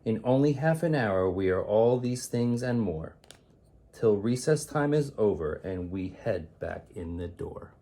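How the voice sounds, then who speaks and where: Low-voiced man reading to children, slightly husky. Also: animated, unhurried, dark tone. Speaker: teacher reading a picture book aloud. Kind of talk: expressive children's read-aloud of rhyming poems.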